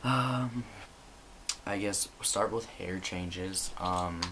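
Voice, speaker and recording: Young man, low voice, casual loose delivery, laptop-cam sound with faint hiss. Vlog update. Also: drawn-out words. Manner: relaxed, rambling, many ums and likes. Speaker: vlogger. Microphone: built-in computer camera mic.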